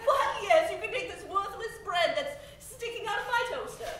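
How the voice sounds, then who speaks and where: An actress playing a hapless, self-pitying housewife in a mock old-time radio commercial. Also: female voice, very high-pitched, lively intonation, moderate pace, a bit bright, far from the mic. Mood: put-upon. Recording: very echoey stage recording.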